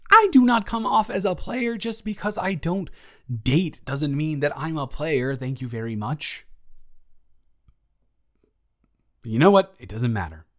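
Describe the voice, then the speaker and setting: A man's voice: fairly high pitch, animated, normal speed. A young man playing a lovestruck roommate in an intimate audio roleplay, earnest, rambling and stammering as he nervously confesses his feelings. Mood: mock indignant, fond. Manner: rambling, stammering, earnest, awkwardly self-deprecating.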